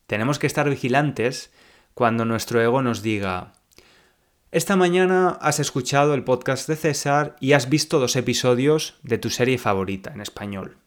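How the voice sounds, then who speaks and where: A young man from Spain with a Spanish accent, hosting a podcast lesson for learners and speaking slowly and clearly like a teacher. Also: medium pitch. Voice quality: slow, clear teacher speech.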